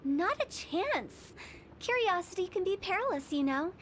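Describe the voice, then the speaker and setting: A woman's voice: extremely high pitch, very animated, unhurried, noisy background, full character voice. An actress playing a playful, eccentric young woman who runs a funeral parlor, teasing and cryptic as she tells old stories. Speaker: game character. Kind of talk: video game voice acting. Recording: game audio with music underneath.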